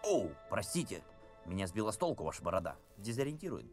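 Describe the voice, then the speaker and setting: A goofy, excitable panda hero from a cartoon film. His delivery swings wildly in pitch, exclamatory and bumbling, under loud music. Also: fast. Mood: flustered, bumbling. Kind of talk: animated film comedy dub.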